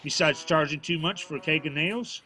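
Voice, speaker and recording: A man's voice: fairly high pitch, moderate pace, bright, forceful tone, faint music behind. An actor playing a stubborn, proud, plainspoken old father from a small town, folksy and prickly, in an audio drama. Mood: defensive. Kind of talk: naturalistic audio drama acting at a live reading. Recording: faint noise of live stage performance.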